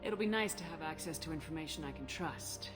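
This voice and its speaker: A woman voicing a steady, caring military commander in a video game, direct and reassuring. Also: deep voice, a little fast, close to an everyday voice. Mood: supportive. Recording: loud music behind the voice.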